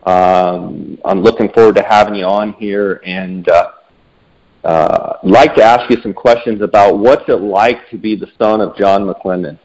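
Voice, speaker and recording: A man's voice: fairly low pitch, unhurried, a little mellow. A man hosting a podcast in a conversational way, on slightly dull, lo-fi audio. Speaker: podcast host.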